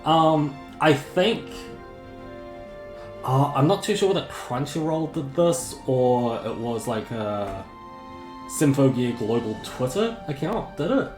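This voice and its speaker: A man's voice: mid-range voice, slow. A man with a sore throat rambles through a casual live game stream, often correcting himself mid-sentence. His voice is plain and somewhat droning, and it echoes around the room with faint music playing behind him. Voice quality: monotonous, droning. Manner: rambling, self-correcting, chatty.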